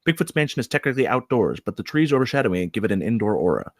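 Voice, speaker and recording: Animated man narrating a screenplay. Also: mid-range voice, racing, dry recording, clean studio sound. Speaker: stage-direction narrator.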